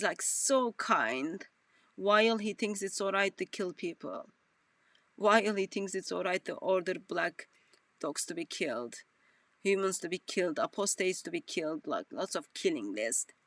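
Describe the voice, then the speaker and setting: A woman speaking slowly with dry irony in an online discussion, mocking a story she finds absurd. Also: medium pitch, no echo, recorded from a distance. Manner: dry, ironic and slow-paced. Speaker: co-host of an online show.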